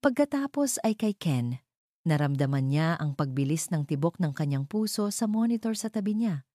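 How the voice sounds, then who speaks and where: Woman telling a dramatic love story, low mellow voice, close dry sound. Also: big pitch swings, normal speed, no echo. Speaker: narrator.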